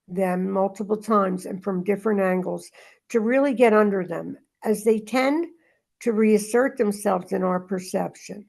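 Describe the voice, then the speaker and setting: A woman's voice: mid-range voice, gravelly. A woman leading a spiritual study group, reading aloud and talking things through informally. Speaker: study group reader and discussion leader.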